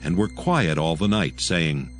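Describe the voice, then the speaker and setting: A man's voice: very deep voice, lively intonation, measured pace, faint music behind. A man narrating scripted scripture as the storyteller of a dramatized Bible audio production.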